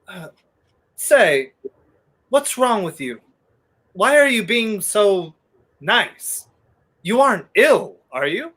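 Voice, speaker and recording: A man playing a sardonic, slow-talking radio hero. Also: high-pitched, big pitch swings, fairly bright tone, exaggerated voice. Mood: puzzled, suspicious. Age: young adult. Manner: sardonic, theatrical. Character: wry, weary man on the run, bickering romantic hero. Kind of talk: 1930s radio play acting.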